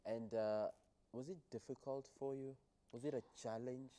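A man in his thirties with a Kenyan accent, interviewing a guest on television in measured, conversational phrases. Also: mid-range voice, steady tone, dark tone. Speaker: TV interviewer, host. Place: TV studio, seated on a sofa. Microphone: headset or clip-on mic.

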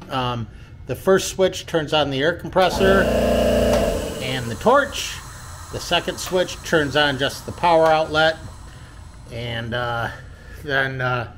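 A man with an everyday mid-range voice talks through his home workshop build, pausing often and restarting his sentences. He is recorded on a handheld camera in an indoor workshop. A faint background hum sits under his voice. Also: mid-range voice, a bit bright. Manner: halting, many fillers and restarts. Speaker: DIY vlogger. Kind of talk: casual walkthrough of a workshop build.

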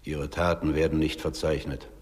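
A man playing a grave, severe judge of the underworld in a radio play, speaking in a measured, declamatory way. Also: extremely low pitch, flat delivery. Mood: stern. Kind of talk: German radio play acting. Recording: long reverberant hall.

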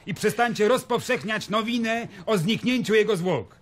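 Stern, husky Roman commander. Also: male voice, sits high, moderate pace. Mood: stern, commanding. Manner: authoritative, interrogating. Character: commanding Roman governor questioning suspects, stern and brusque. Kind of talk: radio drama acting.

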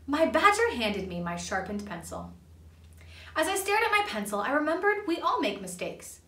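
Bright, animated young woman reading aloud. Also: high-pitched, normal speed. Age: young adult. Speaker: children's storybook reader. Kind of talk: expressive read-aloud narration. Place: small indoor playroom. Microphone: camera a few feet away. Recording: roomy, slightly distant microphone.

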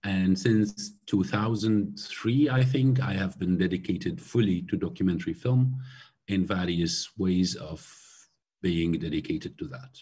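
Keynote speaker, man, 40s, from Syria: unhurried, halting delivery, somewhat low and husky. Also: warm tone. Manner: slow, thoughtful, many hesitations. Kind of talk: lecture over video call. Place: room at home by a glass door. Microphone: laptop webcam on video call.

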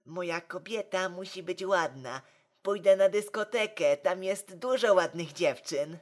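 A woman playing a hapless, meek but stubborn young man in an amateur comedy drama, speaking in a flat, unconvincing way. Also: on the low side, close to an everyday voice. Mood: hopeful. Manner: unconvincing, flat, slow delivery.

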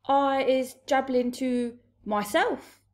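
A woman puts on a nervous male giant from a storybook. The pitch is pushed up above a normal talking voice. The delivery is anxious, faltering and pleading. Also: slow. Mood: evasive. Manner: pleading, faltering, broken grammar. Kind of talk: storybook read-aloud character voice.

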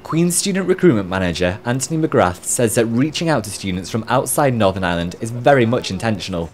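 A man's voice: mid-range voice, lively intonation, fairly quick, a little hiss or hum. Young man, news reporter, formal scripted delivery, outdoors, faint ambience. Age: young adult. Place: outdoors on a university campus.

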